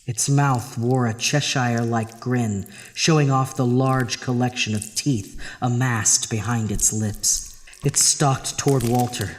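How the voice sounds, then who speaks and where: A man's voice: medium pitch, slight background noise, close to an everyday voice. A man playing a tormented, terrified husband in a horror audio drama, dramatic and intense. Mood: haunted narration. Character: terrified, tormented husband narrating his own horror tale. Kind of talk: horror audio drama, first-person narration and dialogue. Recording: long reverb, music underneath.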